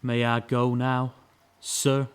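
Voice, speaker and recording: A man's voice: medium pitch, monotone, takes it slowly, clear, resonant tone, close to an everyday voice. An actor playing a shifty, defiant male ex-convict and forger in an audio stage drama, with a manner that wavers between evasive and sneering. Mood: uneasy. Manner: hesitant, evasive, then sneering.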